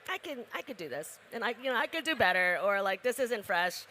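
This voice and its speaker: Young woman co-owner, bright tone, quick laughing chat, faint noise. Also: a little high, sing-song. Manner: quick, laughing, many fillers. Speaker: restaurant co-owner. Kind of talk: casual interview chat.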